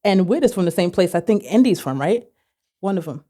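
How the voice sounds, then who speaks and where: A woman's voice: fairly low pitch, animated, fairly quick, warm tone. A woman who plans weddings, talking in a casual podcast chat, emphatic and repeating her points. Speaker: wedding planner guest.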